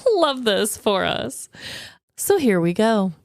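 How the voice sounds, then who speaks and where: A woman's voice: mid-range voice, big pitch swings, slow pace, creaky, no room sound, clean studio sound. A woman co-hosting a podcast, chatting and telling stories in a playful way. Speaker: podcast co-host. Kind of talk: casual chat and storytelling.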